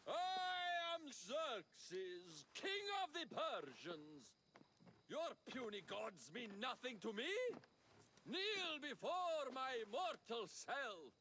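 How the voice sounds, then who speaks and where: A man's voice: very high-pitched, sing-song, extremely slow, pushed and bright, a little hiss or hum, full character voice. A man voicing a boastful actor who plays a Persian king in a game's stage play, declaiming in a pompous, theatrical way.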